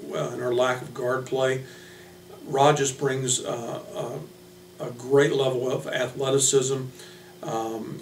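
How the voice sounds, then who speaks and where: Male American coach, informal speech to introduce players, halting and level, recorded in a roomy space with faint noise. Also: mid-range voice. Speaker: head basketball coach. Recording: echoey room from a distance, hum, noise.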